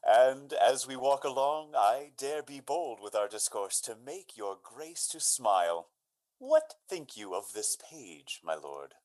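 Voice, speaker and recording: An actor playing a bold, earnest young gentleman in a Shakespearean radio drama, declaiming in a theatrical, commanding way. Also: medium pitch, sing-song, slow pace, poor audio. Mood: merry, triumphant. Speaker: amateur stage actor.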